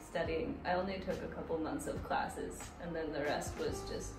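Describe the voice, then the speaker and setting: A woman's voice: sits low, normal speed. A woman in her twenties with an American accent, answering casually as an interview guest. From United States. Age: 20s. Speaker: interview guest, Muay Thai fighter. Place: small hard tiled room indoors. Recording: echoey tiled room, distant mic, background music.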